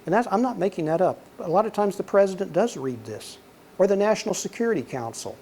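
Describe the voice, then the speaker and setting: A man's voice: high-pitched, fast, slight background noise. An older man with a soothing voice giving a conversational lecture, telling anecdotes and acting out dialogue. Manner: relaxed storyteller, acts out quoted dialogue. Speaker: guest lecturer, former intelligence officer.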